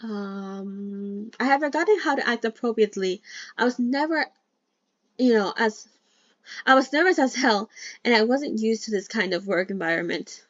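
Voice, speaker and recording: A woman's voice: a little high, moderate pace, fairly bright tone, no echo. A woman who is not a native English speaker, reading a novel aloud in a casual read-along, chatting between passages and stumbling over words. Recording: dull, lo-fi dry mic.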